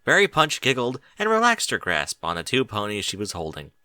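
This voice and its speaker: Man, scripted story narration, animated pitch, bright tone, close dry recording. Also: brisk, no room sound. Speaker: fan fiction reader. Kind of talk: expressive scripted story narration with character voices.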